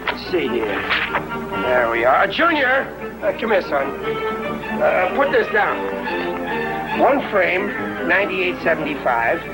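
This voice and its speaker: A man's voice: sits high, big pitch swings, slow pace, pauses often, exaggerated voice, rough recording. An actor playing a stubborn, blustery working-class family man in a 1950s TV sitcom, talking brashly in slang and tripping over his words. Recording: 1950s TV soundtrack with music and audience laughter.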